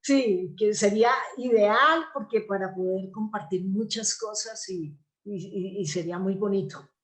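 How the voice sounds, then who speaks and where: Casting: Colombian woman, mid-pitched voice, interview guest from the theatre world, talking conversationally. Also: a little slow, rough recording.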